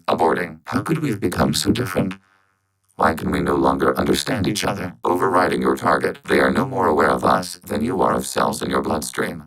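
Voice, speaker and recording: An extremely low, gravelly synthetic voice belongs to a calm robot soldier in a video game. It speaks with flat, clipped formality and cool logic, as one collective mind. A machine-like processing coats every word. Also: male voice, exaggerated voice, rough recording. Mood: terse, alert. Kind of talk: video game character voice acting.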